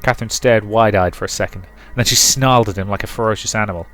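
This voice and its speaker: A man's voice: lowish voice, quick pace, fairly bright tone, no room sound, soft background music. A man reading a visual novel aloud as dramatic storybook narration for a let's play.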